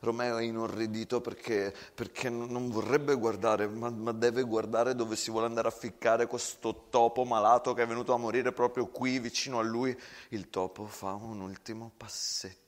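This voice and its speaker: A man's voice: mid-range voice, little pitch movement, moderate pace. A man narrating a war story as a theatrical monologue. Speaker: stage storyteller, actor. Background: acoustic guitar.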